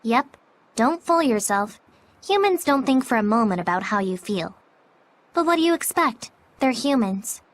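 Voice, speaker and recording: A woman's voice: on the high side, very animated, moderate pace. An actress playing a blunt, cynical, teasing girl spirit in a video game, with a dry, sardonic manner. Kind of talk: English video game dub acting.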